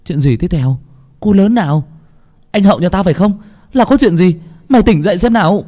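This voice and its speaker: A man's voice: mid-range voice, fairly quick, warm tone, pitched above the performer's own voice, close to an everyday voice. A man voicing a worried young village girl, speaking urgently and insistently in a narrated story. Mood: astonished.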